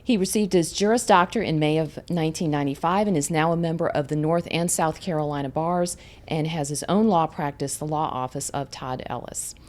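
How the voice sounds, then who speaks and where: A woman's voice: low-pitched, a little mellow. A woman hosting a community podcast, introducing guests by reading their backgrounds and asking friendly questions. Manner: reads guest bios, friendly. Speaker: podcast host. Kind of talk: podcast interview.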